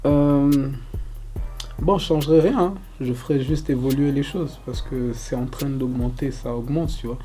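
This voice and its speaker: A young man from Congo answering interview questions casually, loose and relaxed, with lots of fillers. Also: mid-range voice, normal speed, soft background music. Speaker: rapper interviewee.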